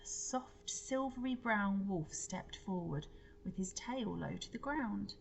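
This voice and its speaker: A woman reads a children's story aloud in the manner of a teacher. Her pace is slow and her pitch is medium, and she breaks off to explain or question her listeners. Faint noise sits behind her. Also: animated, creaky, rough recording. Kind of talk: expressive children's storybook read-aloud with asides.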